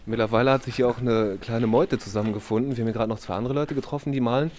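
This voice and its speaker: A man's voice: medium pitch, steady tone, rapid-fire, crowd noise. A man with a cold hosting a vlog outdoors, chatty and upbeat in a slangy way. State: has a cold. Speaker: vlog host. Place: outdoors in a city. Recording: outdoor street noise behind.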